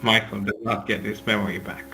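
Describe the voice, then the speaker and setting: A man's voice: lowish voice, flat delivery, brisk, sharp tone, rough recording. A man reading scripted narration and stage directions in an amateur table read. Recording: music behind the voice. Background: music.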